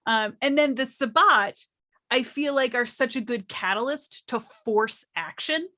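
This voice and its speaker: A woman on a casual gaming panel, speaking expressively and thoughtfully, with pauses to find her words. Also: high-pitched, pushed and bright.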